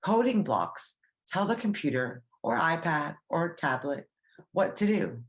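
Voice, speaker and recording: A woman with a low voice gives a read-aloud lesson for young children. She takes her time, keeps explanations simple and puts questions to her listeners. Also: sing-song, unhurried, poor audio. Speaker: coding teacher reading a story aloud.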